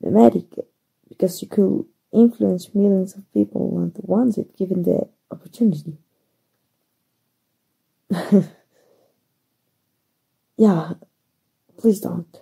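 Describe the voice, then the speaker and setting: A woman acting in an ASMR roleplay as a flustered, soft-hearted young female hero, stammering hesitantly in a soft, husky voice with a Mexican accent. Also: mid-range voice, takes it slowly, pauses often, exaggerated voice, poor audio. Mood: hesitant, earnest. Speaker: voice actor.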